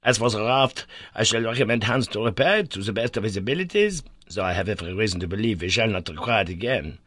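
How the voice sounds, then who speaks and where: A man voicing an excitable, eccentric elderly professor who exclaims with high glee in a storybook reading. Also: fast, slightly rough, no room sound, clean studio sound. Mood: eager.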